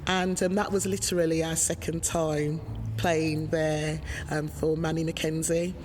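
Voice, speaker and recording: Low-voiced woman, chatty and halting. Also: little pitch movement, darkish tone, slight background noise. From Birmingham, England. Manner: hesitant, many ums, chatty and rambling. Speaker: singer in a band, interviewee. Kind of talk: casual interview talk. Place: music venue with murals.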